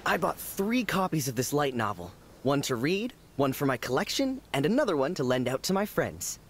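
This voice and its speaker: A man's voice: on the high side, sing-song, normal speed, a little hiss or hum. A man playing a devoted, proud young book collector in a video game, talking with enthusiasm.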